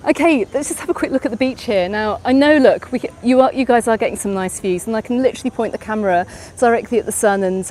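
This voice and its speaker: A chatty middle-aged woman with an English accent, walking and talking on a live travel stream. Her voice sits a little high, and a busy crowd murmurs around her outdoors. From England. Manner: chatty, answers viewers' chat questions as she walks. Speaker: travel vlogger. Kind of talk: live walking tour vlog. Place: outdoors on a busy beach promenade at dusk. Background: crowd chatter and passing traffic.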